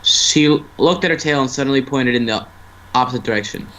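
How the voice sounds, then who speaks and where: A man's voice: mid-range voice, fairly quick, pushed and bright, lo-fi sound. A man reading a story aloud as an amateur narrator, stumbling over words and restarting phrases.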